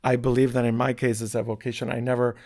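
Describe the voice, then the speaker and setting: A middle-aged man from Spain speaks with a gravelly, fry-edged voice at an ordinary pitch. He talks thoughtfully and haltingly, restarting phrases as he searches for words, in a relaxed interview. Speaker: architecture professor, guest. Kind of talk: casual interview conversation.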